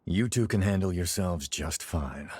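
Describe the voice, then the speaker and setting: An actor playing a seasoned male warrior and loyal mentor in a video game, speaking in a measured, earnest, reflective way. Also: low-pitched, background noise. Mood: approving. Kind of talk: video game dramatic dialogue.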